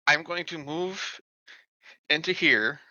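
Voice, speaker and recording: A man with a piercing, forceful voice pitched a little high takes part in a tabletop game. He talks casually and repeats words as he goes. The audio is dry with a dulled top end. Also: slow pace, no room sound, dull audio. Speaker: player in a tabletop roleplaying game.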